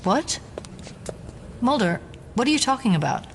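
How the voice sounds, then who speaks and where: An actress playing a rational, fiercely protective federal agent in an audio drama, speaking in a firm, insistent, articulate way. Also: female voice, a little high, sing-song, unhurried, vocal fry, slight background noise, heavily acted. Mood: concerned. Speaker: FBI agent.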